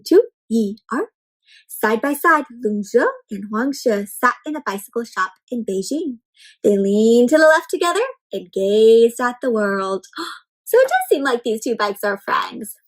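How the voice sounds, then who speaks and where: A woman with a high-pitched voice leads an interactive picture-book reading for young children. She speaks as a storyteller, in an animated manner, turning to her little listeners with questions and leaving pauses for them to think. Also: poor audio. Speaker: children's read-aloud storyteller.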